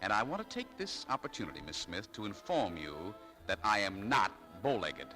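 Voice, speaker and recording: An actor playing a proud, needling, easily stung male shop clerk in a 1940s radio drama, wry and quick to indignation. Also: on the low side, very animated. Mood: indignant. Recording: vintage 1940s radio broadcast, music behind.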